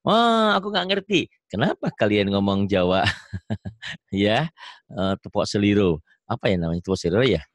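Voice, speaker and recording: A lowish-voiced man with a Sundanese accent leads a reading lesson, stretching each syllable long and slow before correcting and praising. The sound is dry and close, with no room echo. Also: sing-song. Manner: drills syllables slowly, drawn out, corrects and praises. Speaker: Quran reading teacher. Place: home, on a video call.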